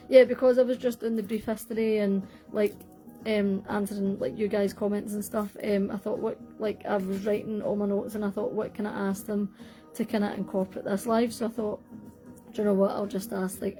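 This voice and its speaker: A woman's voice: medium pitch, dark tone, rough recording. A young woman with a Scottish accent, hosting a casual livestream from home, chatting in a rambling way with lots of fillers and self-corrections while answering viewers' comments. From Scotland. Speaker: livestream host. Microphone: phone.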